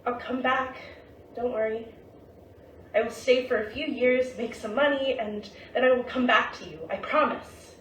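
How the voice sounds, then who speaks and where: A woman's voice: a little high, fairly even intonation, moderate pace, close to an everyday voice. A woman acting a hopeful, devoted young woman of the 1920s, reading out a letter in a staged play. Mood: tender, hopeful. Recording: roomy distant stage recording, faint noise.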